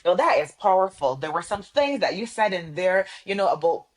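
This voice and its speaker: A woman hosting a podcast, reading an emphatic scripted intro and then chatting warmly with affirming interjections. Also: fairly low pitch, sing-song, a little fast. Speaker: podcast host.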